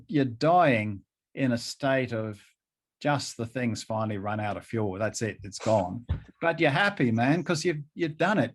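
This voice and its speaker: Middle-aged man talking in a halting, emphatic way. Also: mid-range voice. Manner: halting, repeats phrases for emphasis. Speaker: interview guest. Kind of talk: conversational interview.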